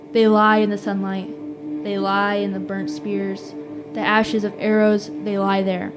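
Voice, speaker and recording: A woman's voice: medium pitch, darkish tone, natural voice. A teenage girl plays an urgent radio announcer in a verse drama. She declaims in short, grave phrases as an eyewitness to catastrophe, with music under her voice. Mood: hushed, grave. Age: teens. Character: urgent female radio announcer witnessing a city's fall. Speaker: student actor. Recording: music behind the voice.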